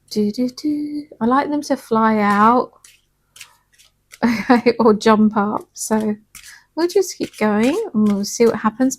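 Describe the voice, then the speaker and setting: A woman giving a casual tarot and angel card reading, her voice slightly hoarse, talking in a soft, chatty, reassuring way and often checking in with "okay?". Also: fairly high pitch.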